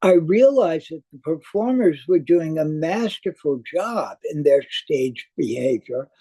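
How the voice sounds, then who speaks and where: Older male theater critic, slow scripted read, soft mellow tone, slightly raised pitch. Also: animated, slightly rough. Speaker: theater reviewer. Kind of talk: scripted spoken review.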